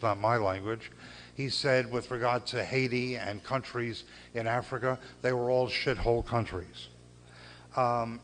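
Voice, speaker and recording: An older man giving a speech at a gala, earnest and measured, with pauses and repeated phrases. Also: mid-range voice. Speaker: civil rights lawyer giving a gala speech. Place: banquet hall.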